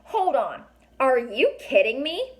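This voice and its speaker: A woman's voice: high voice, very animated, slow, voice pushed up, exaggerated voice. A woman plays a young boy in a children's storybook. He is outspoken and indignant, speaking bluntly and with emphasis, as someone who will not back down. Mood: incredulous.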